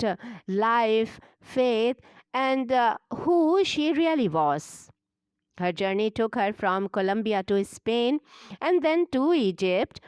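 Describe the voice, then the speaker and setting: A woman narrating a calm, measured introduction as the channel's narrator. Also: a little high, clean tone, no room sound. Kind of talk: scripted narration.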